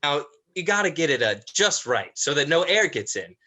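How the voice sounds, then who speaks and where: Man playing nervous horror-play killer, eldest brother, halting rambling delivery, sharp bright tone, swooping intonation. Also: fairly high pitch, big pitch swings, full character voice. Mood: awkward, nervous. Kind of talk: dramatized horror play reading.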